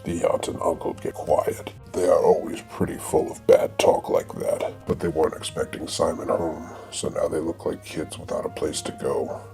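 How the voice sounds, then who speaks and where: A man reading scripted comic narration for an audio drama in a deadpan way. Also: very deep voice, moderate pace, creaky, few pauses, faint music behind.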